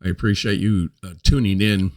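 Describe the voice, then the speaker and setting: An older man in his seventies speaks in a fairly low voice as he hosts his own reflective monologue. He talks slowly and thoughtfully, pausing often to find his words. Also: steady tone, a little mellow. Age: 70s. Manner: unhurried, hesitant with frequent pauses and fillers. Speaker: host.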